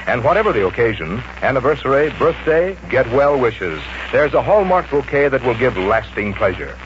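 A man's voice: medium pitch, big pitch swings, moderate pace, noisy background. A man reading a polished scripted sponsor advertisement as a 1940s radio announcer. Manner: polished sales delivery. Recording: dull old radio recording, music behind.

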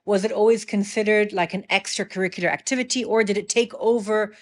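A woman's voice: mid-range voice, fairly even intonation, quick pace. A middle-aged woman hosting an interview, asking questions and often giving short agreements. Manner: warm, affirming listener with many short agreements. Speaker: podcast host. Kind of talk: casual interview conversation.

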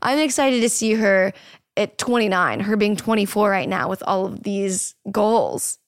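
A woman in her twenties hosting a podcast, chatty and encouraging, swearing casually in relaxed talk. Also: mid-range voice, measured pace, no room sound, clean studio sound. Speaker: podcast host.

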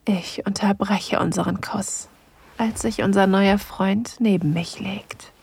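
A woman's voice: medium pitch, steady tone, a little mellow, no room sound, exaggerated voice. An actress playing a playful, sensual woman on holiday, narrating intimately in an audio drama and slipping into whispers. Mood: sensual, hushed. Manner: breathy, slow, sensual delivery slipping into whispers.

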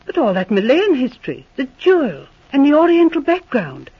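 A woman's voice: high-pitched, huge pitch swings, moderate pace. An actress playing an eager, romantic young woman amateur sleuth who insists on her theories, in 1940s radio acting. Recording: dull lo-fi old radio broadcast.

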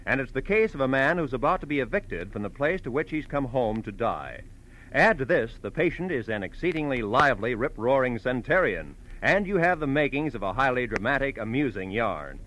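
Animated 1940s American radio announcer, male. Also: medium pitch, fairly quick. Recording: noisy old radio recording.

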